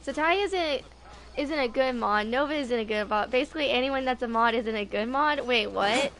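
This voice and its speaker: A woman in her twenties on a casual game livestream, chatting and reading dialogue aloud in a halting, rambling way. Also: on the high side, fairly bright tone, a little hiss or hum. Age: 20s. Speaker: game streamer.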